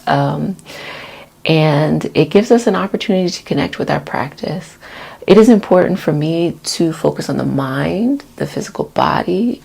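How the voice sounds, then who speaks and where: Husky, low-voiced woman giving wellness talk. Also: mellow. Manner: encouraging, reassuring, conversational asides. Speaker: host of self-care healing sessions. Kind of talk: casual spoken wellness talk for an ASMR healing session.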